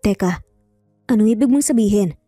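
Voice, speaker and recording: A woman's voice: mid-range voice, moderate pace, warm tone, dry recording, clean studio sound, soft background music, natural voice. A woman voicing a preoccupied, bewildered female lawyer in an audio story, hesitant and pensive. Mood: puzzled.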